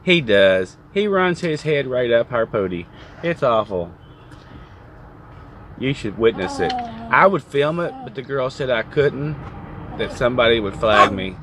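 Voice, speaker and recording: A man's voice: medium pitch, animated, measured pace, noisy background. A man chatting casually as a trucking vlogger, rambling and joking as he tells stories from a truck cab. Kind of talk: casual chat.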